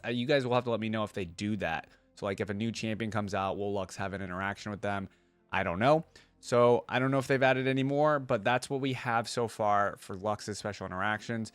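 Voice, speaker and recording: A man's voice: on the low side, smooth, no echo. A man hosting a reaction video in an informal, chatty way, thinking aloud as he comments.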